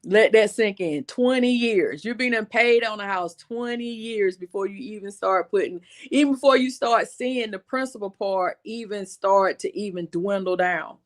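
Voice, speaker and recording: Woman, 40s, livestream host, casual explainer, conversational, repeats for emphasis, mid pitch. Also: normal speed, few pauses. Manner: conversational, addresses viewers as y'all, repeats points for emphasis.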